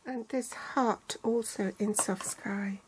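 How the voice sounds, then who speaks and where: An older woman calmly walking through a card-making tutorial step by step, reading out measurements in inches and centimeters. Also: mid-range voice, slight background noise, rough recording. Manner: calm, measured, reads out sizes in inches and centimeters.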